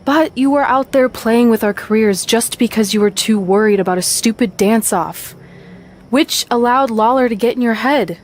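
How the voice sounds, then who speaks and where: A woman's voice: fairly high pitch, moderate pace, slight background noise, natural voice. A woman playing a loyal, frank young wrestler in a video game cutscene, direct and wry. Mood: scolding.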